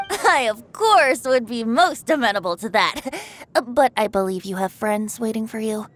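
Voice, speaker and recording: A woman's voice: a little high, expressive, measured pace, fairly bright tone, a little hiss or hum. A woman voicing a playful, carefree boyish young male bard with a teasing, theatrical manner in an anime game. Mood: mock-woeful, teasing. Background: background music.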